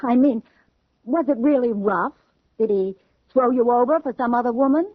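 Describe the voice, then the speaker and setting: A woman's voice: on the high side, moderate pace, no echo, lightly acted. An actress playing an inquisitive, skeptical woman friend in a radio drama, probing in a conversational way. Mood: prying. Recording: thin phone-like vintage radio recording.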